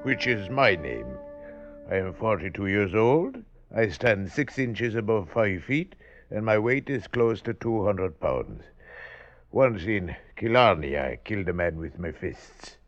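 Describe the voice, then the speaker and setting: Muffled old radio drama: big Irish killer, very low voice, swinging delivery, menacing. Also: male voice, animated, unhurried, soft background music. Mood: cold, matter-of-fact. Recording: muffled old radio recording, faint noise.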